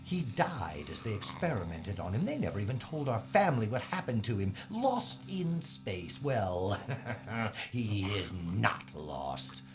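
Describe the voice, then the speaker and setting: Man playing a fervent, obsessed mad scientist, wide-swinging pitch. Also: big pitch swings, a little slow, background noise. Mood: fervent, insistent. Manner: dramatic, fervent, grandiose. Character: obsessed, pleading mad scientist on a doomed spaceship. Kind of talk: sci-fi audio drama acting. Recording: dull, muffled audio drama recording.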